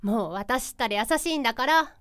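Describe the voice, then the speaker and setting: A woman playing a bright, frank young woman student in a voice drama. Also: fairly high pitch, lively intonation, fairly quick, clear, resonant tone, dry recording, clean studio sound. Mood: playful. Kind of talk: Japanese voice drama acting.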